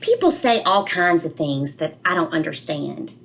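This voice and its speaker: A woman's voice: low voice, lively intonation, normal speed, vocal fry, a bit bright, slight background noise, exaggerated voice. Lady reading as a worried little kid in a picture book, singsong rhymes, takes everything literally. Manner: worried, singsong rhyming delivery. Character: anxious, literal-minded little boy telling his storybook tale. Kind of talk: expressive storybook reading.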